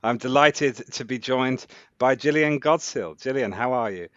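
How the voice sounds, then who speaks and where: A man's voice: medium pitch, moderate pace, fairly bright tone, no echo, muffled recording. A man hosting a podcast interview, affirming his guest and asking about her background. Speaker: podcast host and editor. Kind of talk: casual interview chat.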